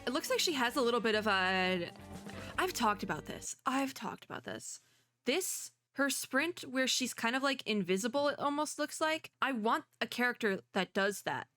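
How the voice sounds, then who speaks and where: A woman's voice: fairly high pitch, expressive, normal speed, pushed and bright, faint music behind. A young woman doing casual live reaction commentary as a streamer, chatty, enthusiastic and informal. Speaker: streamer reacting to game trailers.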